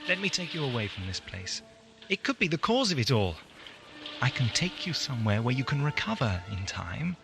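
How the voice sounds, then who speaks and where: A man's voice: mid-range voice, very animated, moderate pace, gravelly. A man playing a compassionate, wry time-travelling alien adventurer in an audio drama, reasoning earnestly. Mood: gentle, sympathetic. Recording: music behind, 1980s amateur audio drama.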